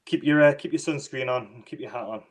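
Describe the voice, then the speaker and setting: A man with a Northeast English accent hosts a relaxed podcast chat. He often pauses on ums and restarts his sentences. His voice comes through a video call. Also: medium pitch. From northeast England. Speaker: podcast host.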